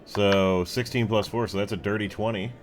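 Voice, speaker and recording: A man's voice: lowish voice, steady tone, moderate pace, faint music behind. A man casually improvising narration as game master of a tabletop game, in a laid-back, colloquial way.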